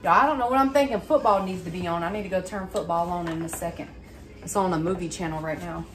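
A woman's voice: lowish voice, fast, music in the background. A woman, chatting informally and folksily through a home cook-along. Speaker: home cooking vlogger. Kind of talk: casual cook-along narration. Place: home kitchen, indoors. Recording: clear sizzling and kitchen noise. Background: frying sizzle, kitchen noise.

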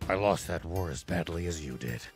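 An actor playing a stern, steady old veteran soldier in a video game, gruff and measured. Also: male voice, low voice, soft background music, close to an everyday voice. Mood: grave, steady. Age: older. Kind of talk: video game cutscene acting.

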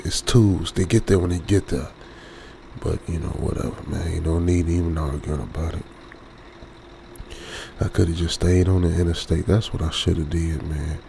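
A man playing a lonesome, uneasy young trucker, muttering colloquially with pauses in an ASMR radio drama. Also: sits low, creaky, dark tone, a little hiss or hum. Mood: weary grumbling. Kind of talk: ASMR old-school radio drama monologue.

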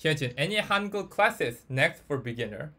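A male Korean teacher with a Korean accent leads an online lesson. His voice sits a little high, and he speaks non-native English haltingly, often repeating words as he explains. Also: big pitch swings, bright, forceful tone, slight background noise. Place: online group audio call.

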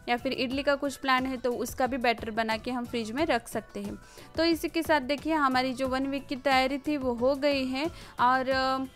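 A woman's voice: high-pitched, a bit bright. A woman narrates a casual home cooking voice-over in a hesitant, explanatory way, often repeating words. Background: background music.

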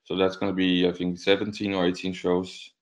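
A man's voice: sits low, monotone, a little fast. A young man with a Danish accent, chatting casually as a musician being interviewed. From Denmark. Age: young adult. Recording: dull, lo-fi video call.

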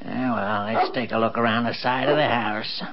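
A man's voice: mid-range voice, steady tone, moderate pace, natural voice. A man playing a folksy, rambling old country fellow in an old-time radio drama. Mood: folksy grumbling. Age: older. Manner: folksy, rambling, muttering to himself. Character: folksy old country man, grumbling but kindly dog owner. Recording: dull, noisy old radio recording.

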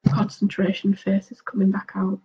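A woman's voice: medium pitch, monotone, moderate pace, muffled recording. Chatty sniffly woman doing her makeup. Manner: chatty, asks viewers questions, soft murmured asides while concentrating. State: sniffly with a cold. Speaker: beauty vlogger. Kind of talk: casual chat while doing makeup.

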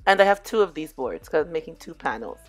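Hoarse middle-aged woman, lowish voice, easygoing tutorial narration with faint music behind. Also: fast. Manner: relaxed, chatty, addresses viewers as "you guys". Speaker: DIY crafts vlogger. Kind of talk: casual crafting tutorial voice-over.

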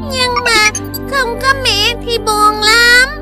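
A woman's voice: very high voice, steady tone, slow. A woman voicing a tiny, clingy, timid boy creature in a children's cartoon, childlike and plaintive. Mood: clingy, sad. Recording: children's cartoon music underneath.

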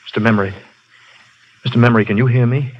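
An actor playing a brisk adult hero, clipped and commanding, on an old radio play recording. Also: male voice, mid-range voice, fairly even intonation, a little hiss or hum, lightly acted. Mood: urgent. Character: brisk adult male hero, urgent and wry. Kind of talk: 1930s radio drama acting. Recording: dull old radio broadcast.

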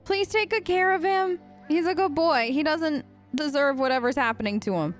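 A woman's voice: high voice, normal speed, faint music behind. A woman role-playing casually through a video game, chatty, earnest and informal. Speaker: let's play host role-playing as an elf character. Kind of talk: casual improvised role-play game commentary.

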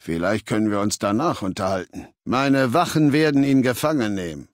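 An actor playing a ruthless, imperious male legion leader in a video game, with a measured, commanding, contemptuous manner. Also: lowish voice, lively intonation, dry recording, clean studio sound, close to an everyday voice. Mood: cold, commanding. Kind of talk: scripted game dialogue.

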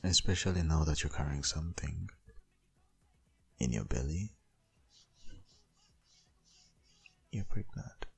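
An extremely deep-voiced boyfriend, acted out by a man, murmurs in a gravelly, creaky tone over music. He is doting and gently dominant, speaking slowly and coaxingly, as in an intimate ASMR roleplay. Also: animated, exaggerated voice. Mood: firm, tender. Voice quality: husky, gravelly, breathy.

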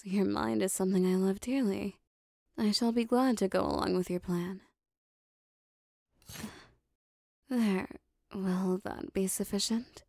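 Casting: actress as possessive, adoring demoness for intimate roleplay audio. Soft, warm dark tone, very close dry recording, formal diction. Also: female voice, medium pitch, dry recording. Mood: tender, hungry. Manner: soft, breathy, formal archaic phrasing, lingering pauses. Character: lovestruck possessive female demon bound to a sorcerer.

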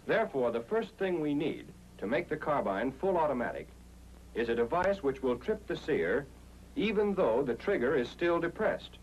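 A man's voice: fairly high pitch, big pitch swings, normal speed, a little mellow, dull audio, slight background noise. An American man reading a scripted 1940s instructional film narration in an emphatic, explanatory way, on an old film soundtrack.

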